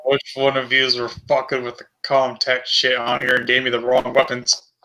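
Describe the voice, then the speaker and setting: A man's voice: medium pitch, monotone, normal speed, sharp tone, low-quality recording. A man playing a tabletop game, bantering casually in a blunt, sweary way.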